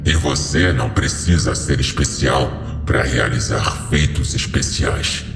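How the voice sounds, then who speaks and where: A man's voice: very deep voice, a little slow, gravelly, fairly bright tone, pitched below the performer's own voice, exaggerated voice. A man playing an ancient, solemn and commanding fallen being who speaks in stately, cryptic pronouncements in a horror audio story. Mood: grave, reassuring. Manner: solemn, stately, portentous delivery. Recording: heavily reverberant voice over music.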